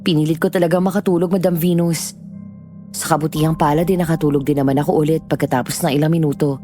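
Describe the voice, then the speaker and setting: Female horror-story narrator, deep register, dark mellow tone, soft music behind. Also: fairly even intonation, quick pace. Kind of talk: scripted first-person horror narration.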